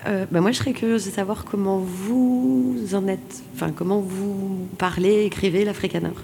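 A woman's voice: medium pitch, fairly even intonation, moderate pace, gravelly, dark tone, slight background noise. A woman in the audience politely asking a question, slightly hesitant. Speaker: audience member.

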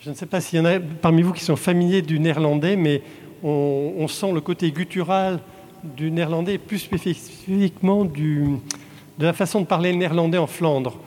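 A man from Belgium, a translator, answering audience questions in a halting way with frequent restarts. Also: fairly high pitch, fairly even intonation, warm tone. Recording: long hall reverb.

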